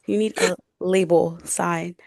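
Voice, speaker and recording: A woman's voice: on the low side, fairly even intonation, slow, vocal fry, noisy background. A young woman co-hosting a podcast, chatting casually in loose, slangy everyday speech. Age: young adult. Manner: colloquial, slangy, frequent "like". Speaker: podcast co-host. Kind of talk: casual chat. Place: bedroom.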